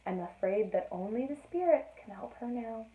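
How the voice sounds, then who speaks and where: A woman voicing a stern but caring father and chief in a children's storybook, measured and instructive. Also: fairly high pitch, animated, far from the mic. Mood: grave. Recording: roomy, echoey, narrowband sound.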